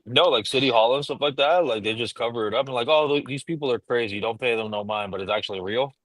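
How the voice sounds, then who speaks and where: A man's voice: medium pitch, fast, fairly bright tone, dry recording. A man co-hosting a casual chat show over a video call, talking in a loose, informal way. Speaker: podcast co-host. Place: busy airport lounge.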